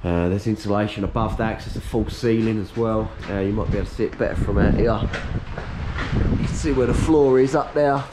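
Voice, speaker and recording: A man in his thirties, slightly hoarse, chatting casually to a head-mounted camera while he strips out his workshop. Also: lowish voice, brisk, heavy background noise. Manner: chatty, upbeat, matter-of-fact while working. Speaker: workshop owner vlogging. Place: large workshop being stripped out, indoors. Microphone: head-mounted action camera.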